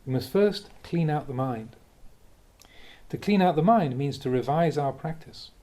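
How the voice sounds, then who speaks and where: A man, a Buddhist monk, reading a dharma talk aloud in a measured, reflective way with the occasional soft chuckle. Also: on the high side, animated.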